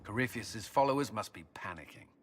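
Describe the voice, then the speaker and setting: A man's voice: lowish voice, lively intonation, fairly quick, husky. A man playing a stern, confident military commander in a fantasy game, giving a firm, businesslike briefing. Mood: assured.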